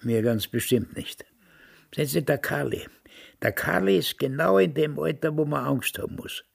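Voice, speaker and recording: An actor in a radio drama playing a cantankerous, fatalistic old father with a raspy, creaky voice. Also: on the high side, moderate pace, exaggerated voice. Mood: bitter, fatalistic. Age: older. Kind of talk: German radio drama acting.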